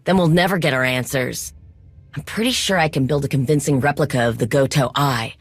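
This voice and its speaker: A woman's voice: a bass voice, brisk, husky, no echo, faint music behind. A woman playing an earnest, anxious conspirator in a video game, speaking in an urgent, conversational way. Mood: focused, urgent. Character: earnest, anxious conspirator planning a risky con. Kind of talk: video game cutscene acting.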